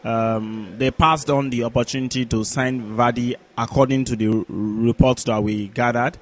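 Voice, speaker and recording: A man co-hosting a sports talk show, running through scores and news in a halting way with repeated words. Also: mid-range voice, fairly even intonation. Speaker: co-host, sports pundit.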